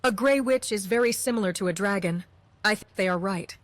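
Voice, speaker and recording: A woman's voice: mid-range voice, brisk, dry recording, lightly acted. A woman voices a secretive innkeeper from a fantasy game. Her mid-range voice delivers disjointed lines with total earnestness. The sound is dry and close, with no room around it. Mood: earnest. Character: secretive female innkeeper speaking nonsensical, garbled lines earnestly.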